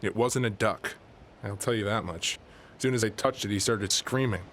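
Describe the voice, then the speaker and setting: An actor playing a folksy small-town fisherman who rambles and corrects himself in a deadpan way. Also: on the low side, moderate pace, slight background noise, natural voice. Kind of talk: radio drama interview.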